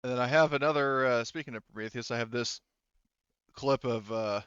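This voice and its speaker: A man running a tabletop game as game master, talking casually and haltingly and swearing freely. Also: medium pitch, a bit bright, clear, resonant tone, dry recording, lo-fi sound.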